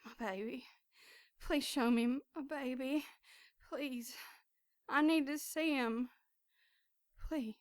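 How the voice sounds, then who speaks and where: A woman's voice: sits high, slow pace, pauses often, no echo, studio quality. An actress playing a weakened, distraught new mother in an audio drama, pleading in distress. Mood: desperate pleading. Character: distraught, weakened mother who has just given birth.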